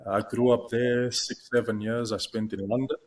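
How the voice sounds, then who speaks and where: A man's voice: medium pitch, steady tone, moderate pace, a little mellow. A young man from South Africa, a guest on a podcast interview, talking with many fillers and restarts. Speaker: guest, content creator.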